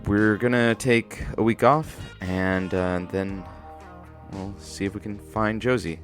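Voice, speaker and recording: An awkward male sidekick fills in as announcer on a radio drama. His fairly low voice is hesitant, with soft guitar music underneath. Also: fairly even intonation, slow. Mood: composed, announcing. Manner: hesitant, fumbling. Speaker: radio producer and stand-in announcer. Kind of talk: audio drama acting, announcing.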